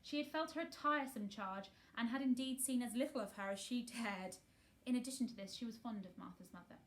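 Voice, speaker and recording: A woman narrating a children's novel aloud in an expressive, scripted storytelling style. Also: on the high side, brisk. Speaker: storybook reader.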